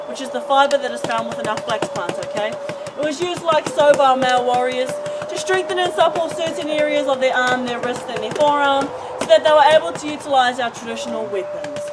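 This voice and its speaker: A woman's voice: high-pitched, brisk. A woman demonstrating and explaining a traditional craft to visitors, checking in with "okay?". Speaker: cultural performer and guide. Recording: distant voice with loud background noise.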